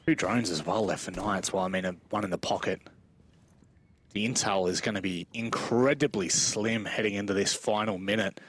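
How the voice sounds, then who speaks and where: A man's voice: on the low side, normal speed, fairly bright tone, slight background noise. A man giving live play-by-play commentary on an esports match. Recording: game gunfire and clicks behind. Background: video game gunfire and clicks.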